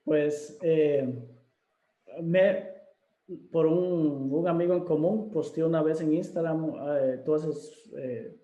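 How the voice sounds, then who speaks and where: A man's voice: a little high, slow pace, mellow. Hesitant man hosting an interview, echoey room. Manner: hesitant, many fillers and restarts. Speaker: interview host. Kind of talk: casual video interview.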